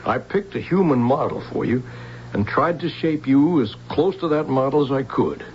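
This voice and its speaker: An actor playing a gentle, regretful older scientist, fatherly and reflective, in a radio drama. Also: mid-range voice, expressive, natural voice. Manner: reflective, kindly, measured. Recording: dull lo-fi radio recording, faint hiss.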